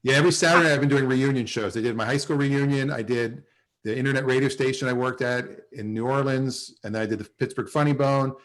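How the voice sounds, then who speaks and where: A man of average pitch hosts an online show over a video call. He talks in a casual, conversational way, chatting with a group of guests. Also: steady tone, fast, fairly bright tone.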